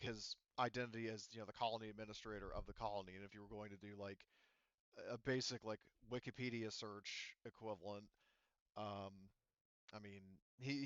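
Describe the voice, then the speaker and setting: A man running a tabletop roleplaying game as game master, explaining things casually in a halting, rambling way. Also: fairly low pitch, a bit bright, clear, resonant tone, dry recording, highs cut off. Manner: halting, rambling delivery full of hedged explanations.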